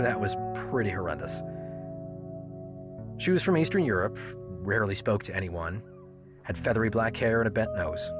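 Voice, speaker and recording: A man's voice: lowish voice, natural voice. A man playing a grown son who narrates memories of his odd family in an audio drama, wry and reflective. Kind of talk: scripted first-person audio drama narration. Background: guitar music.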